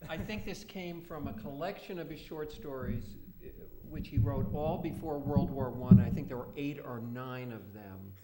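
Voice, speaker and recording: Male literary commentator, creaky and mellow, measured delivery, roomy live event sound. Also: mid-range voice, a crowd in the background. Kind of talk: informal explanation at a Q&A. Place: live event room with audience.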